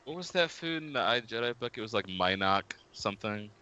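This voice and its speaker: A man's voice: lowish voice, animated, fairly quick, sharp tone, smooth, dull audio. A man chatting casually as a player in a tabletop game. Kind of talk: casual chat. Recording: voice chat with faint keyboard clicks.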